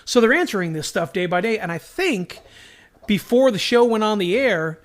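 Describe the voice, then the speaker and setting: High-voiced man, enthusiastic podcast co-host. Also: lively intonation, moderate pace. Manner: enthusiastic, slangy, self-deprecating. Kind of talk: casual chat about superhero shows.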